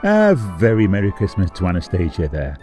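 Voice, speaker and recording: An actor playing a polite fairytale TV channel presenter, linking items in spoof continuity style. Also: male voice, low voice, huge pitch swings, fairly quick, mellow, faint music behind. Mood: polite, announcing.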